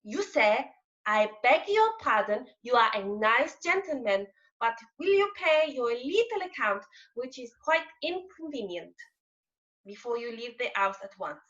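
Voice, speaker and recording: A woman's voice: a little high, very animated, a little slow, fairly bright tone. A woman playing a deferential, fussy waiter in a Victorian farce reading, polite but insistent, over lo-fi remote audio. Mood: politely insistent. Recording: dull, lo-fi remote call audio.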